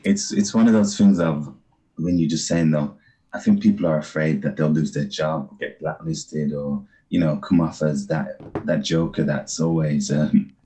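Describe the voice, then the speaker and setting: A man's voice: extremely low pitch, fairly even intonation, normal speed, warm tone, rough recording. A man on a panel discussion speaking hesitantly and sympathetically, restarting his sentences. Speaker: panel guest.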